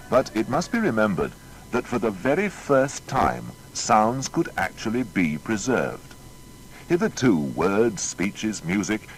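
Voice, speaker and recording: Deep-voiced, expressive male documentary narrator. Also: low-quality recording.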